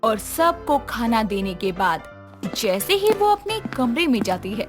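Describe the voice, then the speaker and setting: A woman's voice: fairly high pitch, big pitch swings, unhurried, soft background music. A woman narrator telling a scripted domestic story.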